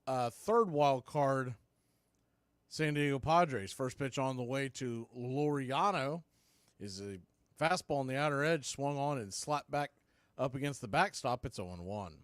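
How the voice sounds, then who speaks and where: A man's voice: medium pitch, expressive, unhurried, clean tone, no room sound. A man working as a baseball announcer, giving live play-by-play commentary mixed with score updates in clipped phrases.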